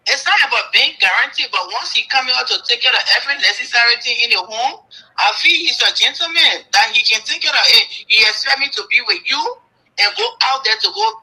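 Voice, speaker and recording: Caller: woman, husky, on the phone line. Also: on the high side, lively intonation, fairly quick, pushed and bright. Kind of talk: call-in radio chat.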